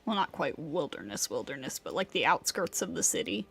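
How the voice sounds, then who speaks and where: A woman's voice: low-pitched, big pitch swings, a little fast, vocal fry, a bit bright, slight background noise. A woman livestreaming a game with casual, playful commentary, talking back to what happens on screen. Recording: game music behind.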